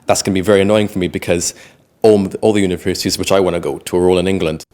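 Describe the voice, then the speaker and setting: A young male student answers a vox pop question. His voice sits very deep, and he talks fast and casually, sounding a little frustrated. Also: fairly even intonation, darkish tone.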